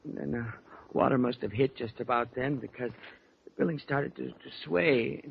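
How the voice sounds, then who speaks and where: An actor playing a frightened, evasive injured witness, hesitant and pleading, in a 1940s radio drama. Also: male voice, mid-range voice, normal speed, vocal fry, lightly acted. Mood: shaken, halting. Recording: muffled, hissy old radio recording.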